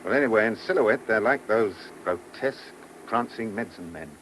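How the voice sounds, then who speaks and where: Genial British chief inspector, animated delivery. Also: male voice, on the low side, a little fast. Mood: musing. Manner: jocular, assured, gently ribbing. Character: genial, teasing, authoritative married chief inspector. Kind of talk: mid-20th-century British radio play acting. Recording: noisy vintage radio drama recording.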